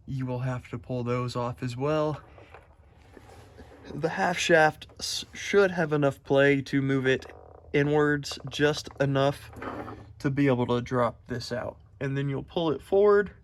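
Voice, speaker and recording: A man giving a casual how-to walkthrough of a car repair in a home garage, explaining each step in a relaxed way with filler words. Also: medium pitch. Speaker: car mechanic vlogger. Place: home garage under a car on jack stands.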